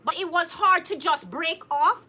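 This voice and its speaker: A young woman from Jamaica, co-hosting a casual live chat and reading viewer comments aloud. She laughs often and talks in an animated way. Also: very high voice, moderate pace.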